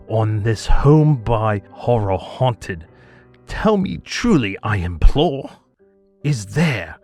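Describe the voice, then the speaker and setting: A man voice actor giving a theatrical poetry reading, swinging between hushed murmurs and anguished cries. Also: mid-range voice. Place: home studio.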